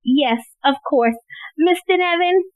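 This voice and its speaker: Woman playing a high-voiced inquisitive police assistant. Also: slow pace, no room sound. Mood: curious. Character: earnest, inquisitive, frank female police assistant. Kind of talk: audio play acting.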